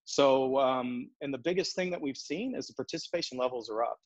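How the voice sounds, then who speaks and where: A man in his forties, a golf operations executive, answering interview questions over a video call in a steady, businesslike way with frequent fillers and restarts. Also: mid-range voice, brisk, no echo. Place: home office in front of a brick wall. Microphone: earbuds on a video call. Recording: dull, dry video call audio.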